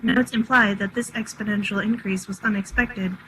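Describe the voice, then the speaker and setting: A woman's voice: medium pitch, steady tone, normal speed, fairly bright tone, slight background noise, lightly acted, low-quality recording. A woman playing a literal-minded, unemotional artificial intelligence with formal, precise speech in a script reading. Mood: clinical. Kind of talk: dramatic live script reading.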